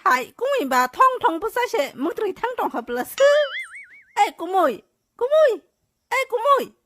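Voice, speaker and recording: A woman voicing an excitable, squeaky cartoon character with an animated, exclamatory delivery in a dub. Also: normal speed, slight background noise, heavily acted. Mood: animated bickering. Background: faint music.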